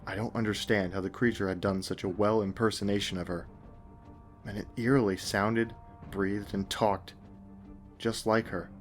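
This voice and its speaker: A man's voice: fairly low pitch, fairly even intonation, moderate pace. A man reading a scripted first-person horror story as narrator. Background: faint music.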